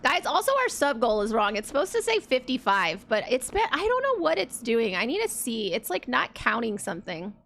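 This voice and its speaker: A woman's voice: a little high, big pitch swings, fairly quick, vocal fry, hardly any pauses, fairly bright tone. A woman streaming a life-sim game, chatting casually and rambling with lots of fillers, her voice congested. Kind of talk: casual let's play chat.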